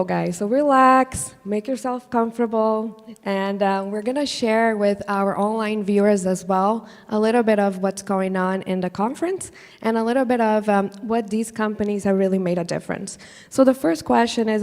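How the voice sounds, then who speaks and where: A woman's voice: mid-range voice, a little mellow. Woman moderator in an echoey hall. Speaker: panel moderator. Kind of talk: moderating a conference panel. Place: conference hall. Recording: echoey hall with long reverb.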